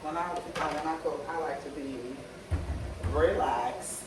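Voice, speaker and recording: A woman with a slightly hoarse voice casually talking her viewers through a cooking recipe. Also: deep voice, unhurried, noisy background. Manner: relaxed, chatty, talks to viewers as y'all. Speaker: cooking vlogger. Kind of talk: casual cooking tutorial chat. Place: home kitchen. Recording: roomy kitchen, far from the mic, loud kitchen clatter.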